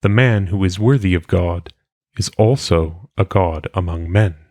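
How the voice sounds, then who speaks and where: A man reading philosophical maxims aloud in a solemn audiobook style, with measured, deliberate phrasing. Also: deep voice, a little mellow, dry recording. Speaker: reader.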